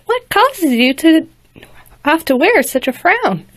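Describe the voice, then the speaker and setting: A woman's voice: very high-pitched, sing-song, takes it slowly, a bit bright, voice pushed up, heavily acted. A woman voicing a kind, cheerful young female pony princess who speaks in a sweet, soothing way.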